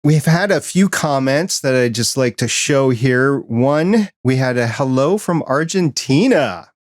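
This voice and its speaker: A male podcast host asks questions in a relaxed, easygoing way. His voice is mid-pitched. The recording is dry, with no room sound. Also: measured pace, no echo. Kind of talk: casual interview.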